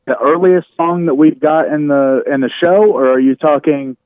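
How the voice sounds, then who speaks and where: A man speaking over a narrow telephone line as a radio guest, chatting casually and haltingly as he thinks aloud. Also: on the high side, dry recording. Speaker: radio host, interview guest. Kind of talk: casual chat on a phone interview. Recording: tinny narrow phone line.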